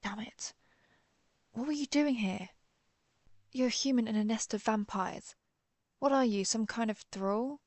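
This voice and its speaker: A woman playing a cool, menacing, centuries-old vampire hunter in a roleplay audio drama, deliberate and sardonic as she interrogates the listener. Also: on the high side, a little mellow, dry recording. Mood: wary, demanding. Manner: deliberate, interrogating, dryly threatening.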